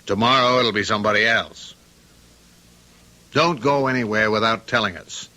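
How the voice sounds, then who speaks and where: Steady police sergeant, bright edge. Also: male voice, fairly low pitch, normal speed, low-quality recording. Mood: earnest, matter-of-fact. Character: steady, plain-spoken male police sergeant. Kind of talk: old-time radio drama acting.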